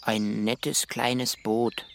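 An actor in a children's radio play playing a naive, proud young would-be sailor, earnest and defiant. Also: male voice, mid-range voice, level tone, very slow, vocal fry, a bit bright, full character voice.

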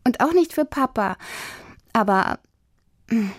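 Woman voicing an anxious, high-pitched young schoolgirl. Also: lively intonation, slow, no room sound, studio quality. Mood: breathless, worried. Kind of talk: children's audio story.

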